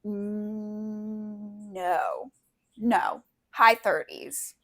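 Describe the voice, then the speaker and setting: A woman's voice: medium pitch, fairly even intonation, drawn-out words, fairly bright tone. A woman chatting casually as a podcast co-host, with a cheeky, irreverent manner. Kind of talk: casual chat.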